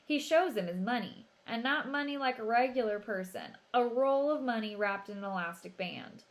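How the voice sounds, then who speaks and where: A woman narrating a children's novel as an expressive read-aloud. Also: a little high, normal speed.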